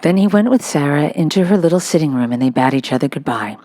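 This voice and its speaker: A woman's voice: low voice, animated, fast talker, dark tone. A woman reading a children's novel aloud as scripted narration. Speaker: storybook reader.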